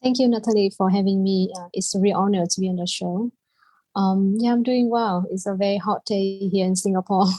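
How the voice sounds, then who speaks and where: Woman, Vietnamese accent, native Vietnamese speaker, flat intonation, warm dark tone, personal interview storytelling. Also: medium pitch, brisk, no echo. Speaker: podcast guest.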